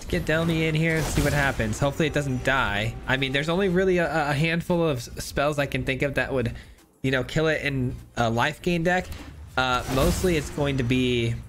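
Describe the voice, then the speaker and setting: Young male gameplay streamer, mid-pitched, upbeat and chatty, faint music behind him. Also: fairly quick. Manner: upbeat, chatty, hedging restarts. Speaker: gameplay commentator. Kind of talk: casual gameplay commentary.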